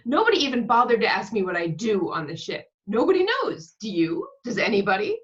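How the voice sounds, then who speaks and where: A woman's voice: mid-range voice, big pitch swings, fairly quick, lo-fi sound, close to an everyday voice. A woman playing an exasperated, sardonic television actress in an amateur comedy table read, complaining and sarcastic. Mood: bitter.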